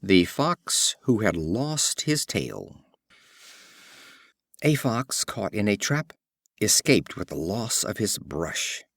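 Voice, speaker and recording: A man narrating an audiobook of classic fables, reading in an expressive, measured way. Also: on the low side, gravelly, dry recording, studio quality. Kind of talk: scripted storybook narration.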